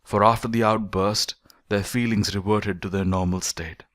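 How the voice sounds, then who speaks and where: A man reading a philosophical essay as an audiobook narrator, in measured, deliberate phrases with emphatic stresses. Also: lowish voice, fairly even intonation, a bit bright, dry recording, clean studio sound.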